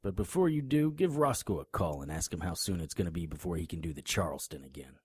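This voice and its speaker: A man's voice: low voice, animated, fast, husky, no room sound, clean studio sound. An actor playing a tough, righteous male private detective in an audio drama, confrontational and sardonic. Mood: firm, resolute. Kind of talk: hardboiled audio drama acting.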